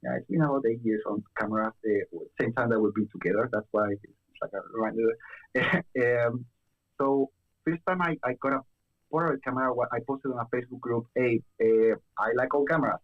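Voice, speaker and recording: A man's voice: mid-range voice, fairly quick, dull audio. A young man from Chile chatting casually as a guest, with lots of fillers and restarts. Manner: hesitant, many fillers and restarts. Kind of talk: casual chat.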